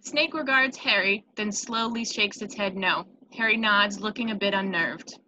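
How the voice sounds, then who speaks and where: A woman's voice: medium pitch, normal speed, sharp tone. A woman reading screenplay stage directions as narrator, with small stumbles, on a noisy home recording with clicks.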